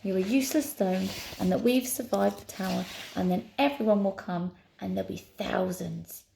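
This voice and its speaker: A woman voicing a brave, defiant girl heroine in a storybook, with urgent, shouted outbursts. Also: medium pitch, normal speed, gravelly, slight background noise, poor audio.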